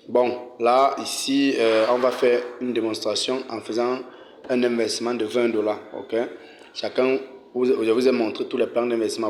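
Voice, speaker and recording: A man with a low, fairly monotone voice guides viewers step by step through a screen demo. He repeats himself, drops in frequent "ok"s and hesitations, and keeps clearing his throat. A faint hiss sits under the recording. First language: French. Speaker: channel host giving a tutorial. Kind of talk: casual screen-recorded walkthrough.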